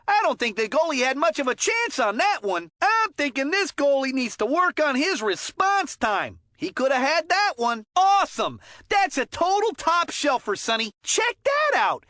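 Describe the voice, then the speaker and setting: High-pitched, excitable kid hockey commentator. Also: female voice, dry recording, clean studio sound, heavily acted. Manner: chirpy, folksy, giggly. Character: excitable, clumsy, good-natured child hockey commentator. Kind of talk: cartoon video game sports commentary.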